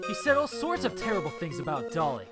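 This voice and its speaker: A woman's voice: sits low, big pitch swings, fast talker. A woman voicing a naive, emotional young male student on trial, stammering and pleading in a dramatic fan dub. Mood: earnest recounting. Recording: loud music behind voice. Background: loud music.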